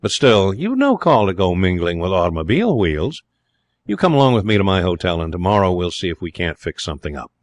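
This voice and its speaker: A man's voice: sits low, big pitch swings, brisk, dry recording. A man voicing a cool, kindly young American in a storybook, with an American accent and a slangy, breezy manner. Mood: sympathetic, breezy. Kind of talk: storybook character voice in audiobook narration.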